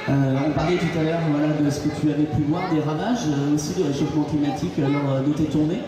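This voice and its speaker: A man's voice: on the high side, steady tone, fast talker. A man interviewing a guest in a chatty, familiar way, with hesitations and fillers. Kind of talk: casual interview. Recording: echoey hall, distant mic, crowd behind.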